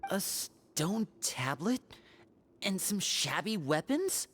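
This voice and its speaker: A woman voicing a calm, questioning young female companion in a video game. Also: fairly low pitch, slow pace, slight background noise. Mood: dry.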